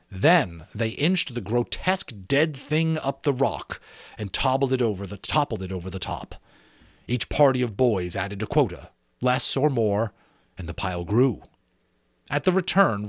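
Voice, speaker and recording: Animated man reading a novel dramatically. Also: mid-range voice, no echo, clean studio sound. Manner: theatrical, expressive storytelling. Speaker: amateur narrator reading a novel aloud. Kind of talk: dramatic audiobook narration with asides to listeners.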